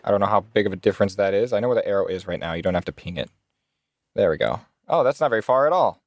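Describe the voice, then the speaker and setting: A man's voice: fairly low pitch, animated, rapid-fire, dry recording. A man playing a tabletop role-playing game, improvising his actions and dialogue casually.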